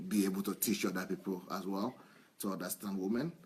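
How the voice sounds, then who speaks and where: A man's voice: deep voice, fairly even intonation, fairly quick, breathy edge. A man, a pastor, teasing his congregation in a playful church talk before his sermon. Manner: playful, jokes and laughs often.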